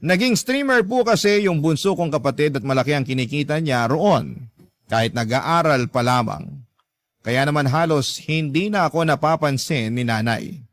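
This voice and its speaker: Guy storyteller on radio, earnest scripted narration, bit high pitched, dry studio. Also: dry recording.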